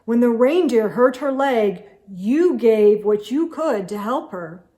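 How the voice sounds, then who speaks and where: A woman voicing a kindly, wise old Santa in a children's storybook, measured, earnest and reassuring. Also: fairly high pitch, animated, mellow. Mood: solemn praise.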